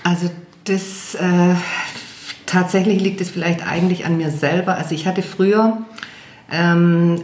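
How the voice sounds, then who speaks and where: Woman, 50s, deep voice, hesitant, filler-heavy, echoey room. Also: steady tone, measured pace, a little mellow, a little hiss or hum. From Germany. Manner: hesitant, self-deprecating, many fillers and laughs. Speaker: singer, interview guest. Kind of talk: casual interview chat.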